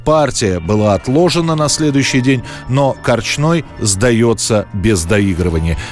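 A man's voice: mid-range voice, lively intonation, unhurried. A man reading scripted documentary narration as the narrator of a history programme. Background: faint background music.

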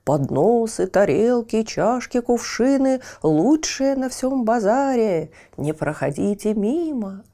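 Woman acting out bazaar hawker, fairy tale, singsong, slow, swooping intonation, touting. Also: a little high, expressive, dark tone.

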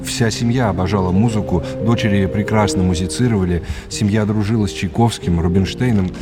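An actor playing a cultured, courteous male gallery expert who explains things in a measured storytelling manner. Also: lowish voice, steady tone, close to an everyday voice. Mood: admiring. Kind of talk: audio drama, guide-like narration. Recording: loud music behind the voice. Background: music.

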